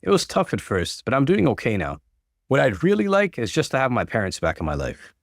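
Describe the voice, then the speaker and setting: Earnest young adult son for story narration, read by a male narrator, grateful, animated, dry sound. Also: medium pitch, a little fast, gravelly, dry recording. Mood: grateful, firm.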